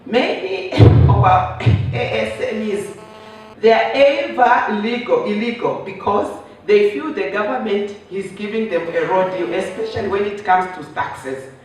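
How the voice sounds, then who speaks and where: A hoarse man giving a conference presentation, explaining step by step and answering his own rhetorical questions. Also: high voice, unhurried, soft background music. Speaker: workshop presenter. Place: conference hall. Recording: roomy echo, voice from a distance, faint noise.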